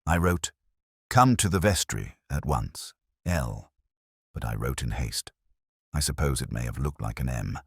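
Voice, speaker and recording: A man narrating plays a guilt-ridden parish churchman from a mystery audiobook. He is earnest and pleading, with halting confessions. The voice is extremely low and husky, recorded very dry. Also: animated, no echo, exaggerated voice. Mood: remorseful. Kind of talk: dramatised audiobook dialogue.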